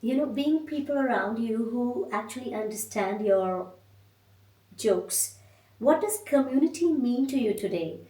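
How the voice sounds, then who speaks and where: A woman from India hosting an interview, asking measured, reflective questions. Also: a little high, animated, warm tone. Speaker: podcast host. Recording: echoey room, mic at a distance.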